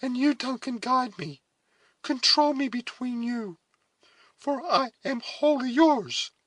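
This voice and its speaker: A man voicing a noble young woman, a solemn elder sister in a storybook, speaking with deliberate, heavy emotion. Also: dry recording, exaggerated voice. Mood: desperate pleading. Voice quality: high, forceful.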